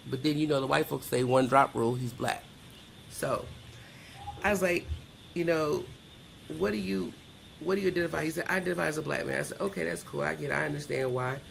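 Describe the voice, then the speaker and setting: A woman's voice: sits low, gravelly, a little hiss or hum, low-quality recording. A woman, middle-aged, telling her personal story candidly as an interview guest, emphatic and plain-spoken, often acting out quoted dialogue. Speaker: podcast guest.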